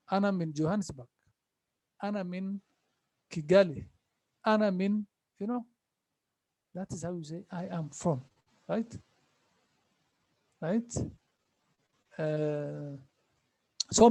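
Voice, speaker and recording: A high-pitched man teaches a class. He repeats each phrase slowly and keeps asking his students questions. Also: a little mellow, rough recording. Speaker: Arabic teacher.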